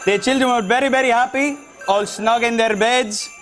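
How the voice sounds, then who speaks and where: A man's voice: very high voice, steady tone, moderate pace. A man putting on a Spanish accent as a cheery storyteller, reading a Christmas poem playfully. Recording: studio audience and music behind.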